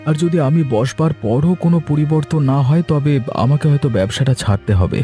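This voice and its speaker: A man's voice: a little high, lively intonation, fairly quick, mellow, faint music behind, close to an everyday voice. A man voicing a humble, trusting village fish seller in a fairy-tale cartoon, speaking respectfully and earnestly. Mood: worried.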